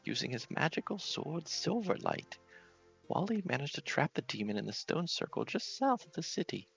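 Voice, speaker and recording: A man's voice: fairly high pitch, sing-song, moderate pace, vocal fry, fairly bright tone, breathy edge, lo-fi sound, faint music behind, pitched above the performer's own voice, full character voice. A man voicing a dramatic, fearful old female fortune teller from a fantasy game, theatrical and foreboding. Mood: alarmed, foreboding. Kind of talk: fantasy game character voice in a read-aloud playthrough.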